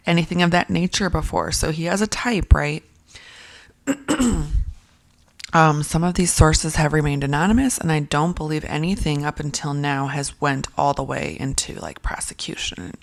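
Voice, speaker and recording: A low, gravelly-voiced woman talks through a casual commentary piece. Her voice is raspy and worn from whispering, and she rambles with plenty of ums, restarts and throat-clearing. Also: a little mellow. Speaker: commentary video creator.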